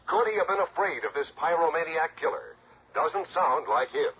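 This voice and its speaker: An actor playing a brisk, gossipy male local newscaster, announcing in a declamatory 1960s radio news style. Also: on the high side, animated, a bit bright. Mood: brisk, insinuating. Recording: dull, faintly noisy old radio recording.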